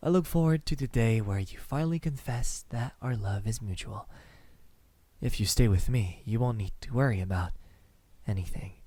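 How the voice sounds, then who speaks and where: A man playing a courteous, lovestruck vampire in an intimate ASMR roleplay, speaking softly in formal words with hesitant pauses. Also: medium pitch, no echo, natural voice. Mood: persuasive, devoted. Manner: hesitant, soft-spoken, formal wording with lingering pauses.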